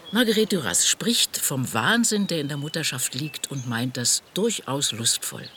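Inquiring, animated intellectual outdoors. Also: male voice, medium pitch, a little hiss or hum. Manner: inquiring, articulate, thoughtful. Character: probing, intellectual friend asking searching questions. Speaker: questioning conversation partner in a radio play. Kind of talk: scripted radio play dialogue.

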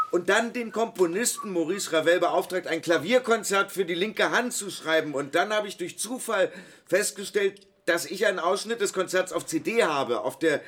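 A man's voice: high voice, fairly bright tone. An actor playing a shy, awkward male hospital patient in a radio play, speaking hesitantly and nerdily. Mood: awkward, friendly. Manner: hesitant, halting, nerdy when explaining. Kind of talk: naturalistic radio play dialogue and first-person narration.

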